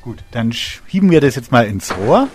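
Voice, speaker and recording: A man's voice: mid-range voice, big pitch swings, normal speed. A man presenting a cooking segment, prompting the chef with short questions. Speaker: TV cooking show host. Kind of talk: interviewing presenter.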